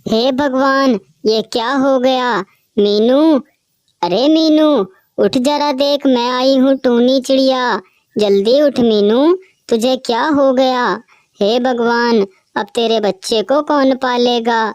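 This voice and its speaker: A woman's voice: high-pitched, steady tone, slow, darkish tone, clean tone, no echo. A woman voicing a dramatic mother bird. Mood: grieving. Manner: emotional, wailing, scolding. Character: female bird, caring foster mother. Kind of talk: cartoon voice acting.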